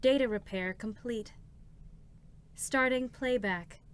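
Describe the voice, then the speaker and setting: High-voiced, deadpan support robot. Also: female voice, takes it slowly. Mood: impassive. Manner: clipped, procedural, deadpan. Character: formal, unfeeling female support robot. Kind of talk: dramatic reading of game characters. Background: music.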